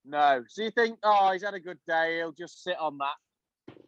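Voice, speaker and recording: A man's voice: fairly high pitch, moderate pace, a bit bright, no room sound. A man co-hosting a casual podcast, rambling through an anecdote with plenty of fillers. The recording sounds dull and lo-fi. It is very dry, with no room sound. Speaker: podcast co-host. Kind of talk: casual chat.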